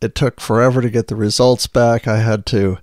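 A middle-aged man co-hosting a casual podcast chat, sometimes hoarse and clearing his throat, rambling with many restarts. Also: mid-range voice, fairly even intonation, fairly quick, darkish tone, no room sound, clean studio sound. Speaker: podcast co-host.

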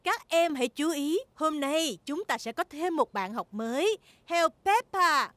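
A woman's voice: high voice, animated, unhurried, fairly bright tone, slight background noise. A woman voicing an elegant lady gazelle who teaches ballet in a cartoon dub, with a theatrical, instructing delivery.

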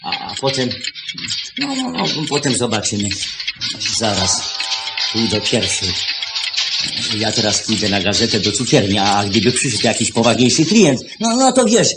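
Fussy old shopkeeper in an old radio play, stammers and repeats himself, lively pitch, loud background noise. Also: male voice, normal speed. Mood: fussy, flustered. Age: older. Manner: hesitant, repeats words, obsequious to customers. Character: fussy, stammering watchmaker shop boss, old man. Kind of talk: 1970s radio play acting. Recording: noisy old 1970s radio recording.

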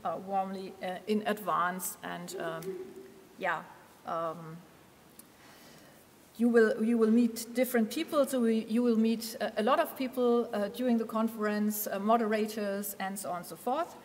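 A woman's voice: medium pitch, fairly even intonation, normal speed, a little mellow. A woman with a mid-range voice thanks the team and makes announcements at a conference, hesitating often with ums. She stands at a lectern, and a long hall reverb trails behind her words. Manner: hesitant, with many ums and uhs. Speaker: conference organizer. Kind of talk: welcome remarks and thanks.

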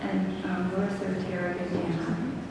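A woman moderating a conference Q&A, briskly directing the mic and repeating questions. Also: fairly low pitch, level tone. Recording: far-off mic, echoey hall, background noise.

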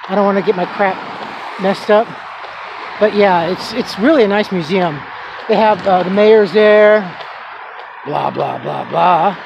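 A man's voice: high voice, a little slow, creaky, long pauses, lo-fi sound. A man chatting casually as he films a walking tour of his neighborhood, rambling with fillers as he goes. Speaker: vlogger giving a walking tour. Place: outdoors on a residential street. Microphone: handheld camera, filming as he walks. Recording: outdoor noise and passing traffic. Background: traffic and street ambience.

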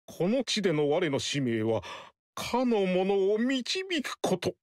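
A man's voice: high-pitched, big pitch swings, no echo, clean studio sound, full character voice. An actor playing a grandiose male sorcerer-priest who proclaims heaven's will in a solemn, archaic way. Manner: solemn and pompous, slow declaiming. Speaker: Zhang Jiao. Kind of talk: game voice acting.

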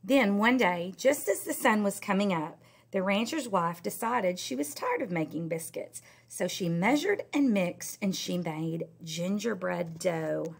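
Woman, middle-aged, teacher, animated storybook reading, swooping intonation, husky edge. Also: mid-range voice, unhurried. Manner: animated, emphatic storytelling for young children. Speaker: elementary teacher reading aloud. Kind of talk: expressive children's story read-aloud. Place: indoor school classroom.

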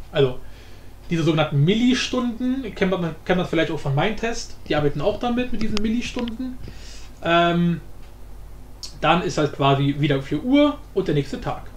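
A man with a high, animated voice presents an informal screencast lesson. He improvises and thinks aloud as he explains. The recording has noticeable room echo. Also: moderate pace. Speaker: tutorial host. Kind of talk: casual screencast tutorial. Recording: roomy echo, faint background noise.

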